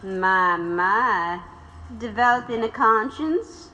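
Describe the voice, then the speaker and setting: A woman's voice: medium pitch, animated, slow pace, sharp tone, muffled recording. A woman playing a tough, reflective widowed revolutionary in an audio drama. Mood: wry, resigned.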